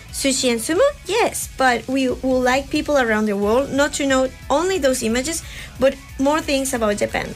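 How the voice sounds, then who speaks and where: A young woman from Rica, hosting a live radio chat in a studio, upbeat but hesitant with many fillers. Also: sits high. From Costa Rica. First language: Spanish. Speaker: radio host. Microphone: studio microphone.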